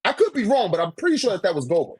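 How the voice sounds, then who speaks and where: A man's voice: high-pitched, huge pitch swings, very fast, slightly rough. A man in a casual debate, speaking informally with heavy slang and swearing. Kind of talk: casual debate about manga character rankings.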